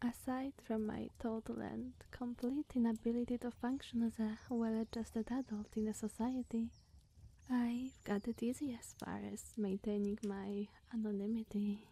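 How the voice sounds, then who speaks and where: A woman playing a lonely, awkward immortal witch in an ASMR roleplay, speaking in a breathy, whispery, hesitant and coy way. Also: fairly high pitch, little pitch movement, moderate pace, mellow, low-quality recording. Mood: wistful confession.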